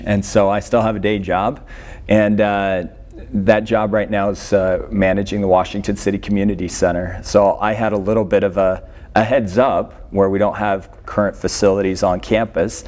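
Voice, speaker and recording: A middle-aged man, a coach, giving unscripted interview answers with hesitant, halting starts. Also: lowish voice, a little mellow. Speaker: swimming coach, interview guest.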